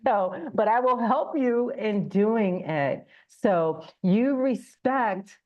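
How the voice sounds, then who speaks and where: A woman's voice: fairly high pitch, expressive, very slow, lo-fi sound. A woman giving an informal business presentation as a guest speaker, conversational, using rhetorical questions and acted-out examples.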